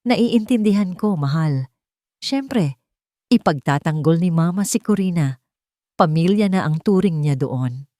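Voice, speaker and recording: A woman's voice: on the low side, animated, a little slow, long pauses, warm tone, dry recording. A woman with a Filipino accent voicing a soothing, scheming young wife in a tender, coaxing manner for an audio story. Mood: soft coaxing.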